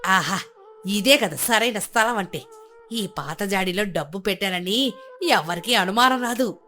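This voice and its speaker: A woman's voice: mid-range voice, animated, measured pace, bright, forceful tone, soft background music. A woman doing a fretful old mother-in-law. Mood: scheming. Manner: fretful, muttering to herself, then wailing in panic.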